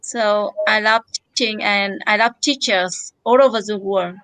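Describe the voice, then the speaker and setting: A woman's voice: mid-range voice, measured pace, a bit bright, smooth, no echo, rough recording. A woman on a group call speaking hesitant, non-native English with frequent fillers. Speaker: participant. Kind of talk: casual group video call.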